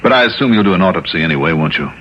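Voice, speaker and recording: An actor playing a cool, persistent, skeptical investigator in a vintage radio drama, measured and probing as he talks and narrates. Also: low-pitched, expressive, slight background noise. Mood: doubtful. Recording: dull vintage radio recording with music.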